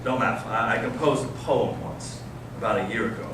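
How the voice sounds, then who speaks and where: A man playing an intense, anguished intellectual elder brother in a staged reading, swinging from hushed to impassioned. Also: mid-range voice, moderate pace, close to an everyday voice. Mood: hushed storytelling. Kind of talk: staged readers theater monologue and storytelling. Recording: echoey stage, distant mic, clear noise.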